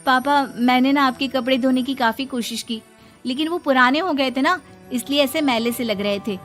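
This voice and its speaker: A woman's voice: high-pitched, moderate pace, fairly bright tone, faint music behind. A woman voicing a dutiful, polite young daughter-in-law in a family story, earnest and respectful. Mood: explaining.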